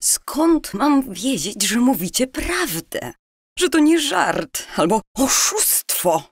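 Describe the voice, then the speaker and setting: Actress as young female café owner, 1930s audio drama: wary, incredulous, polite, husky, measured pace. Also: high-pitched, very animated, no room sound, studio quality, exaggerated voice. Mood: shocked, distrustful. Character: astonished, wary young pre-war Warsaw café owner.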